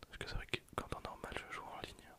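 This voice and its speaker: A young man gives casual, hesitant gameplay commentary in a breathy, unvoiced ASMR whisper. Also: brisk, pushed and bright. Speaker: ASMR gaming video host.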